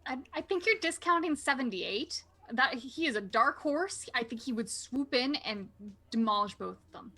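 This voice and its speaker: A woman's voice: high-pitched, expressive, moderate pace, hardly any pauses, bright, forceful tone. A woman cosplayer and player on a tabletop stream, upbeat as she chats and plugs her channels. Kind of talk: casual chat.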